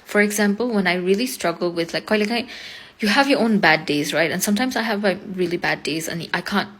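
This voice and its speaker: Rapid-fire Nepali-accented young woman. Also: mid-range voice. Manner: rapid, earnest, frequent "like" fillers. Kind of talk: casual vlog monologue, switching between English and Nepali.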